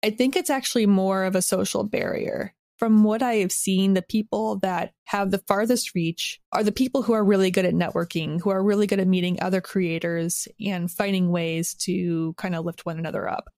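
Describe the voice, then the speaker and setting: A woman's voice: medium pitch, steady tone, moderate pace, few pauses, darkish tone, dry recording, studio quality. A woman speaking casually and reflectively as an interview guest, informally thinking aloud. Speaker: interview guest, audio drama creator.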